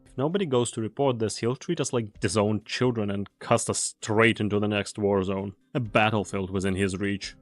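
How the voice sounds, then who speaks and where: A man acting as a world-weary mercenary comrade with a fairly low voice. He speaks gravely and deliberately, like a fatalist who still does his duty. It is anime game dub acting, recorded dry with faint music underneath. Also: no room sound, close to an everyday voice. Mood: grave, resigned.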